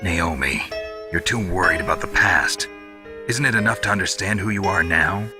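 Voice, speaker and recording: Video game role: stoic male soldier, very low voice, terse, grave questioning, loud score underneath. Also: animated, normal speed. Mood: stern concern. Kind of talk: dramatic video game voice acting. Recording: loud music behind the voice. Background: loud dramatic game score.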